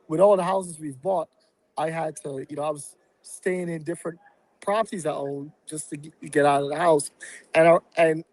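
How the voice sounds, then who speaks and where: Guy from barbados thinking out loud on a podcast, lotta ums, fairly high voice, dry close sound. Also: dry recording, poor audio. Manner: reflective, halting with many fillers. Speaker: real estate investor and guest. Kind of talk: casual podcast interview.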